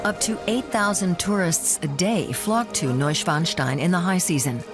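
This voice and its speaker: A woman narrates a travel documentary in a notably low register. Her delivery is scripted reportage, read as a voice-over, with music clearly playing underneath. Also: normal speed. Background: background music.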